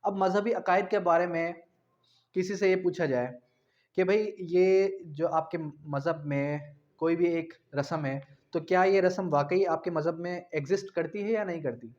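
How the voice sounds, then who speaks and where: A man giving an explanatory law lecture, repeating key phrases slowly and asking rhetorical questions in a didactic way. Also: a little high, a little mellow. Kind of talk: explanatory law lecture, mixing in English terms. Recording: dull, muffled lo-fi recording.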